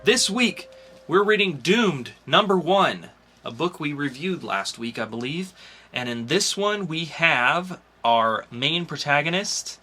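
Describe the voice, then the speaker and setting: A man's voice: a little high, animated, takes it slowly, bright, forceful tone. A man hosting a podcast in a casual, chatty style. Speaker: podcast host.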